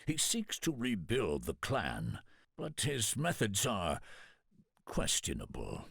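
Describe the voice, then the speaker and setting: A man playing a grave, devoted samurai retainer in a video game, with a husky voice and a measured, earnest delivery. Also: on the low side, wildly swooping pitch, fairly bright tone, no room sound, studio quality, heavily acted. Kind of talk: dramatic video game cutscene acting.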